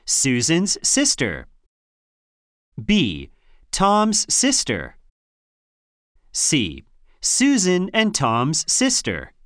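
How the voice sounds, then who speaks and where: A woman reading scripted lines and answer options for an English listening test, slow and carefully enunciated. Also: low voice, huge pitch swings, no room sound, studio quality.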